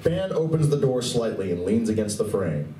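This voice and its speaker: A man's voice: fairly low pitch, big pitch swings, moderate pace, dark tone, a little hiss or hum. A man reading scripted narration for a live radio play, recorded at a distance in an echoey room. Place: large indoor room at a convention.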